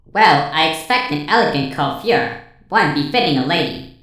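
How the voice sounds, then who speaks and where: Performer as young female robot pony, heavily echoed, bright, playful, teasing, machine-like reporting, audio story cartoon character. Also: medium pitch, animated, moderate pace, recorded from a distance, faint music behind. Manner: clipped machine-like status reports mixed with teasing. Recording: echoing processed sound.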